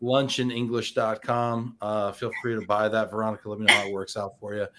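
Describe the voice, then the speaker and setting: A man's voice: fairly low pitch, little pitch movement, fairly quick, very noisy. A man hosting a live-streamed interview, playful and teasing, reading out viewer comments with frequent restarts. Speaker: show host.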